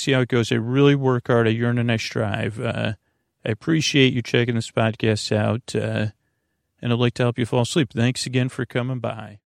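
Creaky-voiced man rambling sleepily. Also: medium pitch, no room sound, clean studio sound. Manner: meandering, halting, drifts off topic. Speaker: podcast host. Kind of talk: rambling bedtime monologue meant to lull listeners to sleep.

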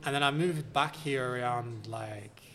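A young man, born in Australia, chatting as a podcast guest, relaxed and hesitant, searching for words. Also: mid-range voice, a little slow. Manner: hesitant, many fillers and restarts, relaxed. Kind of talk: casual podcast chat.

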